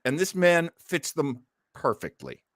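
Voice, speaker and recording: A man's voice: fairly high pitch, wildly swooping pitch, measured pace, dry recording, clean studio sound. An American man hosting a casual radio fan show, speaking in a theatrical, effusive and self-mocking manner. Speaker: host. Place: indoors at home.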